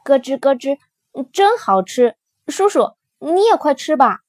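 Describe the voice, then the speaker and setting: A woman voicing a tearful, sweet little boy spinosaurus in a children's storybook, with a high squealing childlike voice. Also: very animated, a little slow, darkish tone, no echo, clean studio sound. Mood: delighted.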